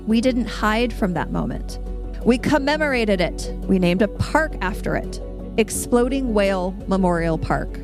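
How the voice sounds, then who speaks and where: American lady reading out a jokey mock-grand debate speech, playful, music playing behind her. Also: medium pitch. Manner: playful, mock-grand, tongue-in-cheek delivery. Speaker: podcast guest making a debate case. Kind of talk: scripted persuasive speech read aloud. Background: music under the voice.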